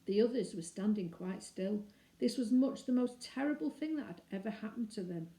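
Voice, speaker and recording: Lady reading kids story out loud, expressive, warm mellow tone, roomy echo. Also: mid-range voice, normal speed. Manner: animated, dramatizes dialogue with shifting emphasis. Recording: roomy, echoing indoor sound.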